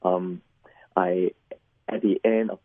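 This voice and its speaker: A middle-aged man from Hong Kong whose first language is Cantonese, a pastor telling his life story in an interview, halting and repeating words with many fillers. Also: low voice, little pitch movement, dry recording, phone-quality sound. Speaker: pastor and chaplain, guest. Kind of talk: personal testimony interview.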